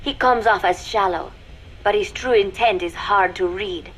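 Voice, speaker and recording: An actress playing a cool, watchful young female spy in a video game, dictating a secret log in a measured, formal, deliberate way. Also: mid-range voice, fairly bright tone, lightly acted, poor audio. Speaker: spy recording an intelligence log. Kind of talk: scripted video game voice acting, dramatic monologue.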